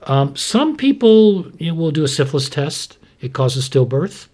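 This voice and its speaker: A man's voice: fairly high pitch, sing-song, normal speed, darkish tone. A man giving a conversational medical explanation as an expert, plain-spoken and didactic, often posing questions and answering them himself. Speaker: physician expert explaining to a co-host.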